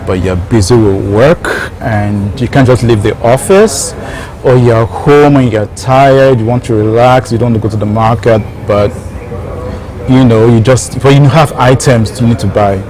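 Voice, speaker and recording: A man hosting an informal online talk show, addressing viewers directly and repeating phrases for emphasis. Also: on the low side. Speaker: show host and founder.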